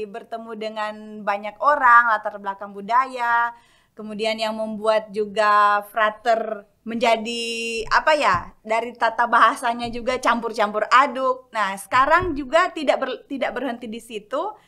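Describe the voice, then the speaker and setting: A chatty woman hosting a casual podcast interview, with a bright, forceful voice pitched a little high. She draws her guest out with playful asides and quick questions. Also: fairly even intonation. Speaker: podcast host.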